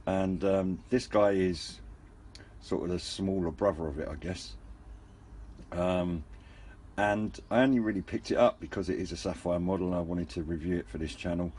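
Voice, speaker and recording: A man's voice: deep voice, fairly even intonation, moderate pace, darkish tone. A man giving a casual, unscripted hobby review as the host, in a hesitant, rambling and understated way. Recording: faint background noise.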